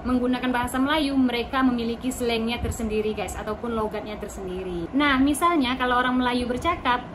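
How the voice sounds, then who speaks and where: A woman's voice: on the high side, a little fast. A woman from Indonesia chatting to her viewers in a casual explainer vlog. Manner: chatty, addresses viewers as guys. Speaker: vlogger. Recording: noisy recording.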